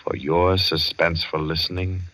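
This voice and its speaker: Deep-voiced American radio announcer, a man. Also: level tone, normal speed, sharp tone, poor audio. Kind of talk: 1940s radio scripted narration. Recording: vintage 1940s radio broadcast. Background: music.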